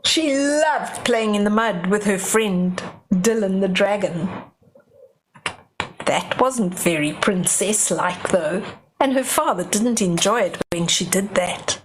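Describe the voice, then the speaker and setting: A woman's voice: medium pitch, expressive, slow pace, background noise. An older woman reading a storybook aloud to young children, dramatic, with simple explanatory asides.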